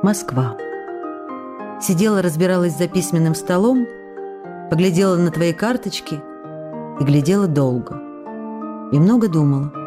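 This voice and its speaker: An actress playing a tender, lovestruck young actress in a radio play, reading her letters aloud in an intimate, wistful manner with pensive pauses. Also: fairly low pitch, lively intonation, over music, close to an everyday voice. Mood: tender, musing.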